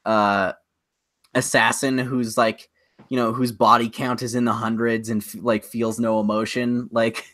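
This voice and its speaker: A man's voice: mid-range voice, flat delivery, measured pace, a bit bright. Opinionated man in casual podcast chat. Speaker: podcast co-host.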